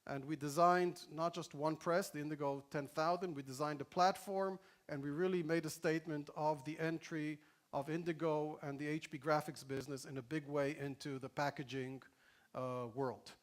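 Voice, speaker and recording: A man's voice: on the high side, fairly even intonation, moderate pace. A middle-aged man gives a conversational press conference talk at a podium, with many fillers and restarts. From Israel. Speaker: company executive presenting at a press conference. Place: large hall with windows, live audience.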